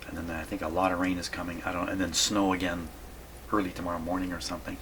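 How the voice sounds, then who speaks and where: A man's voice: deep voice, level tone, fast talker, noisy background. A man giving casual, folksy workbench commentary as a hobbyist host, musing aloud to himself. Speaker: radio repair hobbyist hosting a video.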